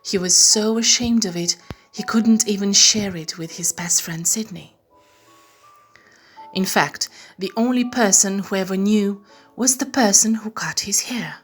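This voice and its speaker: Slow, husky woman reading bedtime stories. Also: mid-range voice, faint music behind. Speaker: storyteller. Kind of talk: scripted bedtime story narration.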